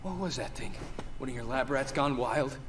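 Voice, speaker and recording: An actor playing a brash young soldier in a video game, speaking in a blunt, informal way. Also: male voice, mid-range voice, moderate pace, a little hiss or hum, lightly acted. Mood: confrontational.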